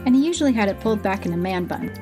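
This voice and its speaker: A woman narrating a fantasy audio story in an expressive, even-paced reading, over soft music. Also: medium pitch, very fast.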